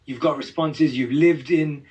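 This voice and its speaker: A man interviewing a guest, asking calm, probing and supportive questions. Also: fairly high pitch, unhurried. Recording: dull, distant replayed interview audio.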